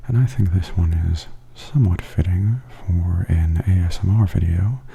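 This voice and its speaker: Very mellow, low, near-whispering man. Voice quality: breathy, often near-whispered. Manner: gentle, unhurried, observational. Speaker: vlogger giving a walking tour. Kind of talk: softly spoken ASMR commentary. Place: walking through a theme park and its indoor attractions. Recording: steady background noise and ambience.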